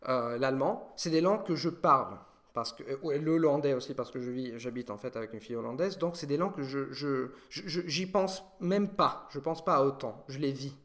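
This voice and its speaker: A young Italian man answering viewer questions in a casual monologue, pausing and restarting often as he thinks aloud. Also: medium pitch, a bit bright. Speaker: language learning video host.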